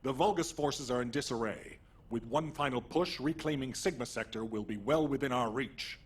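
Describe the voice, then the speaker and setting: An actor playing a composed military commander who gives a measured, formal, earnest briefing in a game. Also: male voice, medium pitch, breathy edge, natural voice.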